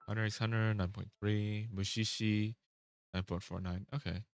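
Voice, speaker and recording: Male vlogger, very deep voice, loose rambling delivery, dry recording. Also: flat delivery, normal speed, smooth, no echo, faint music behind. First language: Vietnamese. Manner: rambling, self-interrupting, swears casually. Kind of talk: casual rambling chat.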